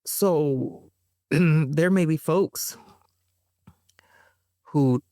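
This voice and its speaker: A woman being interviewed as a playwright, talking in a chatty, animated way, with frequent fillers and bits of laughter. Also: low-pitched, extremely slow, no room sound, clean studio sound. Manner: animated, chatty, addresses listeners as y'all. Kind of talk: casual interview conversation.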